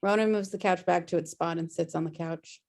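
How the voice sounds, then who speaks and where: A woman's voice: fairly low pitch, steady tone, a little fast, a little mellow. A woman narrating stage directions from a script during a table read on a video call.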